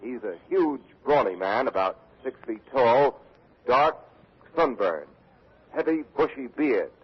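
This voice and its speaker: An actor playing a brisk radio correspondent, formal in his reporting and dry in his questions, in 1940s drama style. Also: medium pitch, lively intonation, husky. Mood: brisk, serious. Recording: muffled old 1940s radio recording.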